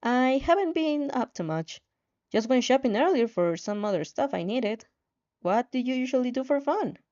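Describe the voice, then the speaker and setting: A woman's voice: sits high, animated, normal speed, a little mellow, highs cut off. A woman voicing a friendly, encouraging young heroine who chats in a kind, reassuring way in a fanfiction audio drama. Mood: casual, friendly.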